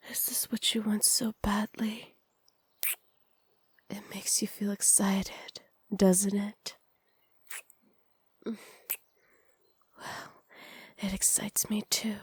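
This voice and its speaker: A woman playing a seductive, teasing witch in an intimate ASMR roleplay, sly and possessive, often slipping into breathy whispers close to the microphone. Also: mid-range voice, level tone, no echo, exaggerated voice. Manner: slow, breathy, often whispered, flirtatious purr. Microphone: close studio microphone.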